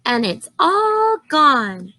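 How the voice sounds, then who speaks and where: A woman's voice: high-pitched, sing-song, extremely slow, bright, forceful tone, dry recording, voice pushed up, exaggerated voice. A woman voicing a small, indignant baby bear in a children's storybook, with a plaintive, childlike manner. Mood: indignant, upset.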